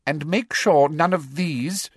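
A performer voicing a domineering, greedy middle-aged female official in an audiobook, sharp and demanding, with a falsely sweet streak. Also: mid-range voice, takes it slowly, breathy edge, no room sound, studio quality, pitched above the performer's own voice. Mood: sharp, bossy.

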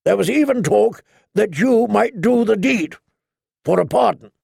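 Man doing stern old knight, sharp, accusing, pitched well up, gravelly, dry. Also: expressive, unhurried, no echo, full character voice.

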